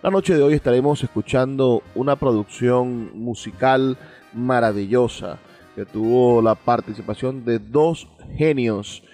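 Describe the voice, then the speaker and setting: A Venezuelan man hosts a radio programme at a mid pitch, with music playing behind him. He speaks in formal, effusive phrases, dwelling on words and repeating them. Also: measured pace, dark tone. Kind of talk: radio presenting, introducing music. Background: background music.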